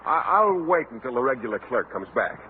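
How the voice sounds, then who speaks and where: A man's voice: medium pitch, sing-song, brisk, lightly acted. An actor playing a bashful, mild-mannered man who talks hesitantly and politely in a 1950s radio comedy. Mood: bashful, flustered. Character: bashful, awkward, mild-mannered male colleague. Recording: narrow-band old radio broadcast, faint hiss.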